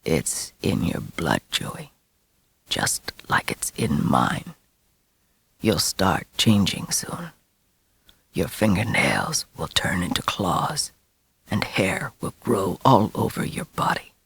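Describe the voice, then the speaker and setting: A woman voicing a menacing, secretive werewolf man in a slow, breathy whisper for an audiobook. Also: extremely low pitch, very animated, no room sound, voice pushed down, heavily acted. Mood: intense, secretive. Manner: slow, relentless, ominous.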